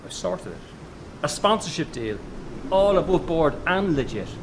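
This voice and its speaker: A middle-aged circus boss in a radio drama. He is weary, curt and exasperated, with a voice a little higher than most men's. There is some noise behind him. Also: sing-song, normal speed. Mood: defensive, terse. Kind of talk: naturalistic radio drama acting.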